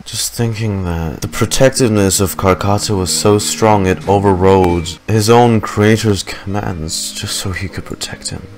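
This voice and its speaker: A young man giving casual, low-key commentary while reacting to a video game in his room on a desk mic. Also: fairly even intonation, unhurried, few pauses. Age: young adult. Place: small home room. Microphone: desk mic on a boom arm. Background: game music.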